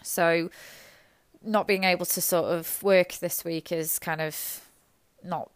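Woman, hoarse and gravelly, flat low voice, halting and rambling, dry close sound. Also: no echo. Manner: halting, rambling, self-deprecating. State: hoarse from illness. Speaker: nurse. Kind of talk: reflective personal monologue.

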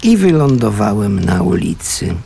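Gravelly, unhurried homeless man. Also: lowish voice, very animated, dark tone, slight background noise, heavily acted. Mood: matter-of-fact. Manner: colloquial, unhurried, fatherly. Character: homeless older man, gruff but kindly caretaker of a runaway child. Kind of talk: naturalistic Polish radio play acting.

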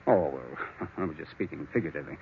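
An actor playing a hard, scheming ex-lawyer in an old-time radio drama, blunt, needling and insinuating. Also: male voice, a bass voice, expressive, fast talker, gravelly, a little hiss or hum, heavily acted. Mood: scornful. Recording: muffled old radio recording.

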